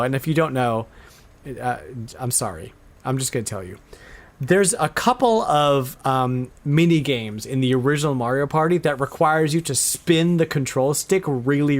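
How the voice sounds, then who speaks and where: A man chatting casually and enthusiastically as the host of a retro gaming podcast. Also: on the high side.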